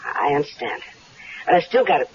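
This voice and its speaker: A woman's voice: low voice, sing-song, brisk. An actress playing a scheming wife who coolly plots her husband's murder in a 1970s radio drama, with a coaxing, confident, sweetly calculating manner. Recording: dull old radio broadcast with faint hiss.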